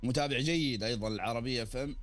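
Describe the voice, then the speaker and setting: A man speaks as a guest journalist on live radio. He is courteous and effusive, talking very quickly in a mid-pitched voice, with faint music behind him. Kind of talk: live radio interview talk.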